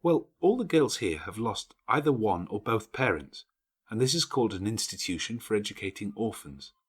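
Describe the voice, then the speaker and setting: Man voicing a studious orphan schoolgirl, raised pitch. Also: big pitch swings, husky. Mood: matter-of-fact, patient. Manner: measured, explanatory. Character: older orphan schoolgirl, studious, patient, matter-of-fact.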